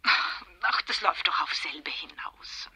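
An eager, gossipy friend in a radio crime play. Her voice is lowish and very bright, with a breathy edge, in a dry close sound. She talks on insistently. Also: takes it slowly, no echo, in a whisper, full character voice. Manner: talkative, insistent, animated storytelling. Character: chatty, eager woman friend passing on expert gossip. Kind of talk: radio crime drama acting.

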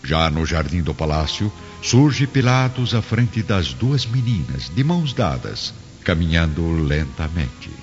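A man's voice: sits low, very animated, unhurried. A man narrating a radio drama in a solemn, theatrical scripted style. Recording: dull lo-fi recording, music underneath.

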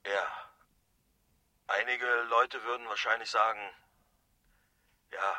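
A man's voice: on the low side, steady tone, slow pace, pushed and bright, no room sound, heavily acted. An actor playing an evasive, floundering male election candidate on a phone-in, hesitant, in a radio drama. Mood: uncertain, evasive.

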